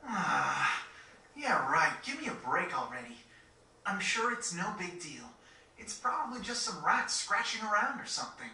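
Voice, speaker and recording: A man's voice: high-pitched, very animated, moderate pace, gravelly, sharp tone, heavily acted. A man voicing a cocky, sarcastic, easygoing teenage boy in a video game, with a flippant manner. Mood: exasperated. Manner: flippant, dismissive. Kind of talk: video game cutscene voice acting. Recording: echoey, slightly distant game audio.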